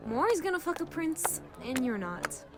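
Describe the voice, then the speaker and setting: A woman playing a cheeky, irreverent, excitable friend who teases and wisecracks in an audio drama. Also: sits high, normal speed, music in the background. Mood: needling. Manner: wisecracking, teasing.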